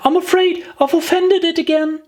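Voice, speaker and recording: A woman's voice: extremely high pitch, fairly even intonation, moderate pace, a little mellow, voice pushed up. A woman voicing a polite, curious young girl in a children's audiobook, earnest and chatty in manner. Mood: apologetic.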